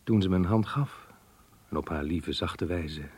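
An actor playing a remorseful middle-aged man reading out his diary confession in a radio play, grave and measured. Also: a little mellow. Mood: troubled, reflective. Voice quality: low, husky. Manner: grave, measured, literary diction. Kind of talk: Dutch radio play monologue.

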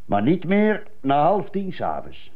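An actor playing a laid-back prison guard who is firm about the rules, in a radio drama. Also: male voice, on the high side, huge pitch swings, measured pace. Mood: easygoing. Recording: thin phone-like radio tape.

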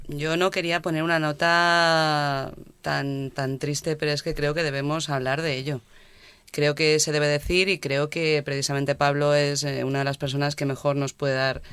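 A man hosting a radio talk show with an easygoing manner, asking long, meandering questions. His voice sits a little high and sounds smooth. It is recorded dry and close. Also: fairly bright tone, dry recording.

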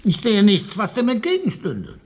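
An actor playing an eccentric, contrary, pompous lord in a radio drama. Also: male voice, high voice, wildly swooping pitch, moderate pace, darkish tone, husky, full character voice. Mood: haughty objection. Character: eccentric fox-loving lord, absent-minded and contrary. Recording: reverberant hall.

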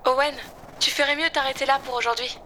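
Woman as high, bright, precise AI companion. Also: steady tone, fast, slight background noise. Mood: matter-of-fact. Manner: matter-of-fact, precise, dryly witty. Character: precise, literal-minded female AI in a hunter's weapon. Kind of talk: French science-fiction audio drama acting.

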